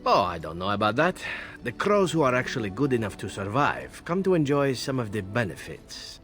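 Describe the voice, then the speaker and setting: A man playing a flippant, smooth-talking elven assassin in a video game, with a wry, playful manner. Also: medium pitch, big pitch swings, fairly quick, a bit bright, faint music behind. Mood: playful, cynical. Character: suave, flippant elven male assassin, cheerfully cynical. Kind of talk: video game dialogue acting.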